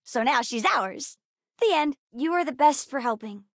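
A woman voicing a bright, bookish, sassy young girl in a video game, earnest, chatty and precocious. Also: high-pitched, expressive, moderate pace, no room sound, studio quality. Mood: cheerful, earnest. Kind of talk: video game character dialogue.

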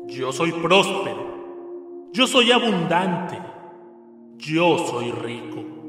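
Slow, solemn man with hall echo. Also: on the high side. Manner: solemn, measured, declaiming. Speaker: prayer leader. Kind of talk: slow recited prayer and affirmations. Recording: reverberant hall with soft music.

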